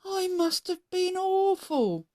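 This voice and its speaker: A woman's voice: very high-pitched, very animated, slow, darkish tone, voice pushed up, full character voice. A woman voicing an anxious, self-doubting male scarecrow in a children's story, plaintive and fretful. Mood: dismayed.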